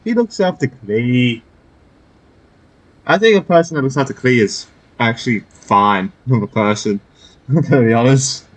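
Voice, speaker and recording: A man's voice: medium pitch, lively intonation, a little slow, poor audio. A man giving casual commentary while playing a video game, sometimes reading dialogue choices aloud. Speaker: gamer playing through a game. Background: game music.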